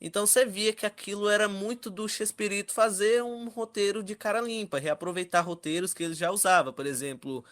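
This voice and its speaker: A man's voice: high-pitched, fairly quick, few pauses, fairly bright tone. A Brazilian man in a casual roundtable discussion as one of the panelists.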